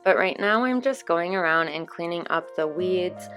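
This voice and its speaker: Young female host, slightly hoarse, relaxed chatty voice-over, low-ish pitch. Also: moderate pace. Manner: relaxed, chatty explaining with fillers. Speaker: gaming channel host. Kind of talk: casual gameplay voice-over. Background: soft background music.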